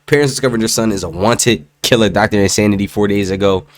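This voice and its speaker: A young man streaming his reaction to a video in slangy, casual talk, his voice still hoarse from a sore throat. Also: lowish voice, fast, dry recording, clean studio sound. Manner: slangy, loose, talks straight to viewers. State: hoarse, voice recovering from strep throat.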